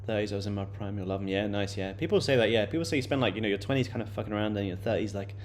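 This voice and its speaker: Late-20s male streamer, low-pitched, relaxed and chatty, repeats himself and fills pauses. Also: slight background noise. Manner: loose and chatty, many fillers and repeated words. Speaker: day-trading livestream host. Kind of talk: casual live-stream chat answering viewers.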